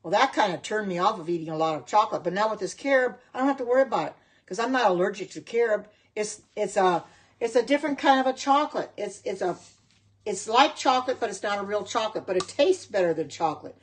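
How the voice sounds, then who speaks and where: A woman's voice: mid-range voice, lively intonation, fast, breathy edge. A middle-aged woman from the United States, chatting casually in her home kitchen on a livestream, rambling and answering viewers' comments. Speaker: home cook and vegan livestreamer.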